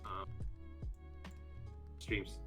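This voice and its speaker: A man's voice: drawn-out words, music in the background. A man from Greece with a Greek accent, a game developer chatting casually on a live stream, talking with frequent fillers and restarts.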